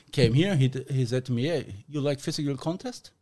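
A man from Germany with a German accent, a podcast guest talking in a halting, self-deprecating way with small grammar slips. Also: medium pitch, a little mellow. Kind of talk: casual podcast chat.

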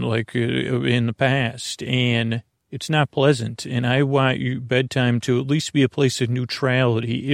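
A man hosting a bedtime podcast, rambling in a meandering, halting monologue that often trails off mid-thought and has a creaky quality. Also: medium pitch, no echo, clean studio sound. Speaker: podcast host. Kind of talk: rambling bedtime monologue meant to lull listeners to sleep.

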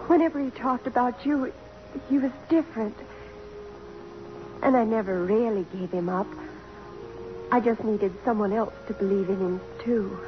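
A woman's voice: fairly high pitch, moderate pace, pauses often, close to an everyday voice. An actress playing an emotional, idealistic young college girl, heartfelt and breathless, in 1950s radio drama style. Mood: moved. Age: young adult. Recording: dull, faintly noisy old radio recording.

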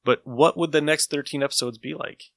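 Bright-voiced man hosting a casual podcast. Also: fairly high pitch, fairly quick, gravelly, no room sound, studio quality. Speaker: podcast host. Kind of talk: casual chat reviewing old radio shows.

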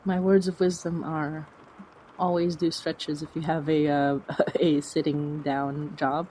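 A woman's voice: low-pitched, little pitch movement, slow, dark tone, slight background noise, poor audio. A woman speaking as a podcast guest, answering in an earnest, reflective, conversational way. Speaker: guest, fiction podcast creator.

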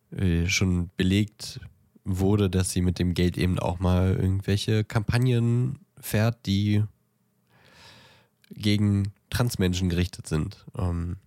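A man co-hosting a podcast, talking casually and thinking aloud in a halting, deliberative way. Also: low-pitched, a little mellow, no room sound, studio quality. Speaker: podcast co-host.

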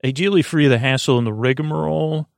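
A man hosting a sleep podcast, talking gently in a meandering, halting, goofy monologue with a creaky voice. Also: fairly high pitch, no echo, clean studio sound. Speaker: podcast host.

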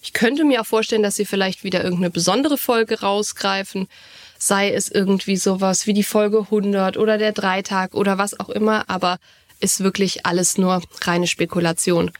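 A woman's voice: medium pitch, fairly even intonation, normal speed. A woman co-hosting a podcast, chatting casually and telling anecdotes in a fluent, enthusiastic way. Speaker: podcast co-host.

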